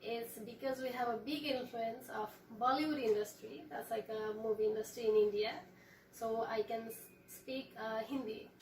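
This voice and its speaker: Young woman from Nepal, fairly high voice. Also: fairly even intonation, a little mellow, a little hiss or hum. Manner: measured, hesitant, with frequent fillers. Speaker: guest. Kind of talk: casual interview, explaining her culture. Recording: echoey room, voice from a distance.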